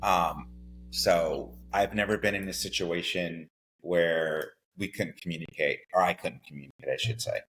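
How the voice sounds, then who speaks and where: A man speaking as a podcast guest in a casual conversation, unhurried and hesitant, with many pauses and fillers. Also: sits low, fairly bright tone, a little hiss or hum, poor audio.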